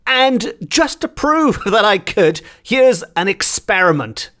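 Higher-pitched man hosting a podcast. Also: very animated, slow pace, fairly bright tone. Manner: informal, self-deprecating. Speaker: podcast host. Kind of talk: casual chatty podcast talk.

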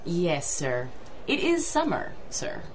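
Polite female border officer, clipped formal manner, radio drama, animated intonation, faint background noise. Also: lowish voice, slow, full character voice. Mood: polite, businesslike.

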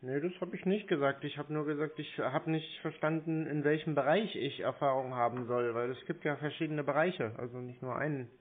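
A man's voice: a little high, a little fast, hardly any pauses. A man talking bluntly and insistently in an argumentative phone conversation, challenging the other side. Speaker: scam baiter taking a phone call. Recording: tinny narrow-band phone line.

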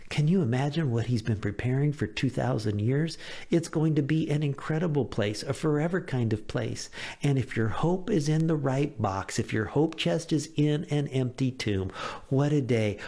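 An American man in his fifties preaching a devotional message as a pastor, earnest and deliberate, with emphatic phrasing, close to a microphone in a small room. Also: medium pitch, vocal fry, warm tone. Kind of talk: devotional sermon to camera. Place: small home office, indoors. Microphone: close studio microphone on a boom arm.

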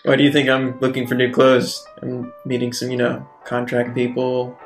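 A man's voice: medium pitch, completely flat delivery, moderate pace, faint music behind. A man playing a tabletop roleplaying game, talking casually as he improvises his character.

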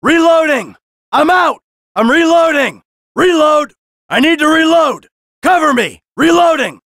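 A man's voice: very high-pitched, expressive, measured pace, fairly bright tone, no echo, clean studio sound, exaggerated voice. A man voicing a cynical, brash middle-aged American criminal in a video game, sarcastic and foul-mouthed. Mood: shouting, alarmed. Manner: sardonic, brash, swearing.

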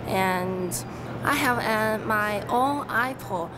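A woman's voice: mid-range voice, takes it slowly, music in the background. A young woman from China, a native Chinese speaker, talking carefully and hesitantly as an international student. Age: young adult. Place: university building lobby.

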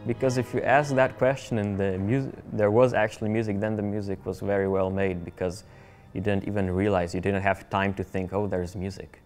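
A young man explains in an interview, voicing imagined questions as he goes. His voice is low and gravelly, with faint music underneath. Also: little pitch movement, normal speed, a little mellow. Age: young adult. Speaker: film music competitor. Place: indoor room with curtains.

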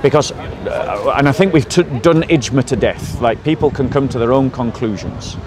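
A man's voice: medium pitch, moderate pace. A man debating outdoors in a park, pressing his points and steering the conversation forward. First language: English. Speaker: street debater. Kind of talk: public debate. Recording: crowd noise outdoors.

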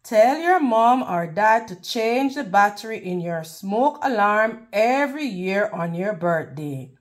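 A woman reading a picture book aloud to children in a teacherly way, pausing to prompt them with questions. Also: mid-range voice, expressive. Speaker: read-aloud host.

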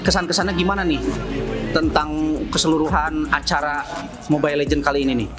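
A man's voice: a little high, flat delivery, brisk, over music. A young man hosting a casual interview for a cafe, upbeat and playful with slang. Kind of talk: casual vlog-style interview. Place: cafe stage in front of a decorated wall, indoors.

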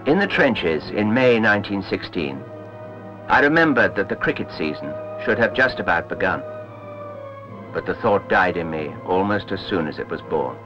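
A man's voice: low voice, sing-song, moderate pace. A man giving a solemn, reflective literary reading for a documentary. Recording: dull old soundtrack, music behind.

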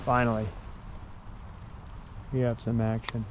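American guy talking to his chest cam by a creek, chill then excited, outdoor noise in the mic. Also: mid-range voice, unhurried. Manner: excitable when fish bite, otherwise relaxed and chatty. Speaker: fishing vlogger. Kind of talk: casual outdoor narration. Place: creek bank outdoors in winter. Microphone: chest-mounted action camera.

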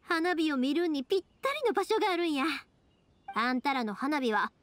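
A woman voicing a chatty, warm-hearted young fireworks maker with a Kansai accent in an anime-style game dub. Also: high-pitched, lively intonation, moderate pace. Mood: earnest.

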